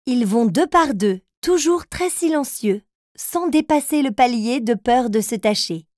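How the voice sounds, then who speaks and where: A woman playing an encouraging grown-up who puts riddles to small children in a playful, clearly spoken way. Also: fairly high pitch, expressive, a little slow, darkish tone, no room sound, studio quality. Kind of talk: children's riddle game narration.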